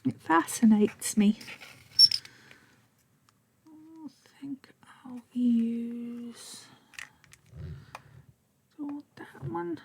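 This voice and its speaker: Slow-talking Southern woman, gravelly and dark. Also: a little high, noisy background. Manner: rambling, self-deprecating, often half-whispered while crafting. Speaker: crafting vlogger. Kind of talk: chatty craft tutorial narration.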